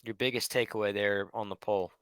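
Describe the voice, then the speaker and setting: A man's voice: fairly low pitch, steady tone, brisk, a bit bright, smooth, no room sound. A young man co-hosting a casual sports podcast, easygoing and laughing often. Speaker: podcast co-host.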